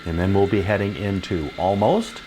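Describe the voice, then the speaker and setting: A man's voice: low voice, normal speed, warm tone, slight background noise. An older man giving an informal, explanatory tour of a model railroad layout as its hobbyist guide.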